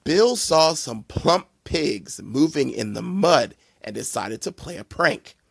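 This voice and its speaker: A man reading a children's storybook aloud in a deliberate, theatrical way for young listeners. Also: fairly high pitch, lively intonation, vocal fry, dry recording, studio quality. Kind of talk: animated storybook narration.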